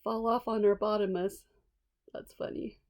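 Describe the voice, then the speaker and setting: A woman's voice: mid-range voice, flat delivery, measured pace, a little mellow. A woman reading a children's storybook aloud expressively, with small asides to listeners. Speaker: storybook reader.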